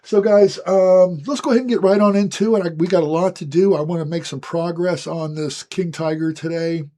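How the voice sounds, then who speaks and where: A man with a hoarse, raspy voice as he gets his voice back, hosting a casual, chatty walkthrough of building a scale model. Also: sits high, a little fast. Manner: relaxed, chatty, frequent "guys" and "um". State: hoarse, recovering from lost voice.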